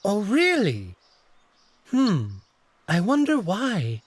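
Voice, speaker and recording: Man voicing a husky, dainty furry courtier. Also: sits high, wildly swooping pitch, drawn-out words, no room sound, voice pushed up, full character voice. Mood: coy surprise. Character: dainty, refined, gossipy young male furry alien courtier. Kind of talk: visual novel character acting.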